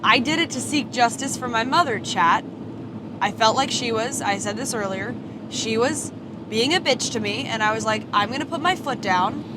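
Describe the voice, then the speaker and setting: A woman's voice: a little high, moderate pace. A young American woman arguing defiantly and insistently on a livestream from inside a car. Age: young adult. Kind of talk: heated argument on a live road-trip stream. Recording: clear car and road noise. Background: engine and road noise.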